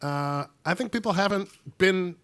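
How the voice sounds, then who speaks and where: Man, hoarse from a cold, gravelly, panel speaker, earnest, repeats words when restarting. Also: high voice, moderate pace, a bit bright. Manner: earnest, stammering restarts, rising emphasis. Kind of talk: panel discussion remarks.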